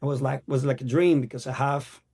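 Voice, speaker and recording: A man in his thirties from Venezuela with a Venezuelan Spanish accent, Spanish as his first language, telling his story as an actor guest in an earnest, halting way. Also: medium pitch, steady tone, darkish tone, slightly rough. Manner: earnest, halting non-native English. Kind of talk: casual interview.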